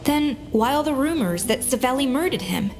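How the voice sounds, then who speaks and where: An actress playing a poised, sharp-minded young woman investigator in a video game, speaking precisely and seriously. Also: high-pitched, lively intonation, moderate pace. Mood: serious deduction. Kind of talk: video game character dialogue. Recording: music under the voice. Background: game music.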